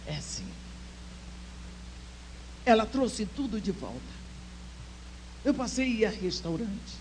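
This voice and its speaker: Older woman giving slow, heartfelt testimony. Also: on the high side, a little hiss or hum, low-quality recording. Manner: heartfelt storytelling, swings between soft near-whispers and emphatic exhortation. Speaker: conference speaker. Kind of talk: personal testimony at a church women's conference.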